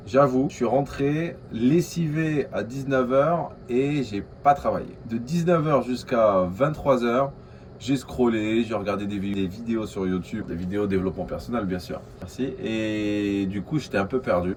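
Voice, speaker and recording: A man from Algeria with an Algerian accent, talking casually to his vlog camera in a coworking space. He speaks quickly, with many fillers and restarts. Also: medium pitch, darkish tone, a little hiss or hum. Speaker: vlogger.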